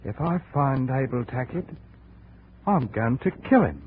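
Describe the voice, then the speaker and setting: An actor playing a big, grimly determined jungle trader with a brooding, menacing manner in a 1950s radio drama. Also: male voice, medium pitch, lively intonation, measured pace, natural voice. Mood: cold, menacing. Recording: narrow, noisy 1950s radio recording.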